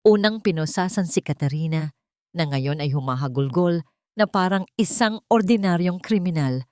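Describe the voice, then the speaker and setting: Filipino-accented woman, slightly low voice, scripted dramatic story narration, dry close recording. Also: expressive, normal speed, a little mellow, no room sound.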